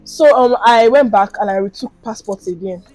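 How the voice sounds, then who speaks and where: A woman's voice: fairly high pitch, moderate pace, darkish tone, no echo, faint music behind, rough recording. A young woman from Nigeria with a Nigerian accent, telling a story in a casual vlog while she does her makeup, acting out the lines of the people in it. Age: young adult. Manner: chatty and dramatic, acting out quoted lines. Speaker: vlogger.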